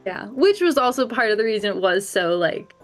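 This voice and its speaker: A woman actor chatting casually on a panel in a halting, self-deprecating way. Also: medium pitch, big pitch swings, faint music behind. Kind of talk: casual chat.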